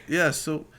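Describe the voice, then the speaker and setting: A man hosting a casual podcast, chatty and teasing as he wraps up the episode. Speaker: podcast host. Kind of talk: casual podcast chat and sign-off.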